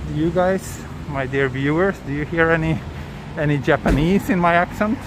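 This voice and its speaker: A man's voice: fairly high pitch, normal speed. A man with a Swedish accent hosting a live walking tour, chatting casually and answering viewer questions with hesitant, thinking-aloud restarts. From Sweden. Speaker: livestream walking tour host. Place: city streets outdoors. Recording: outdoor traffic noise.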